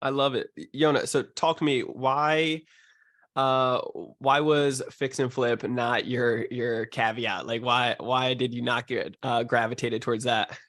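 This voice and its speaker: Informal American man with a medium-pitched voice. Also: level tone, a little slow, a bit bright. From New Jersey, United States. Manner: informal, slangy. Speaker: podcast host. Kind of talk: casual interview.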